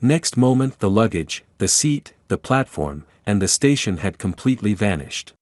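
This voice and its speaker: A man's voice: fairly low pitch, very animated, moderate pace, a little mellow, no echo, studio quality. A man reading scripted storybook narration as the narrator.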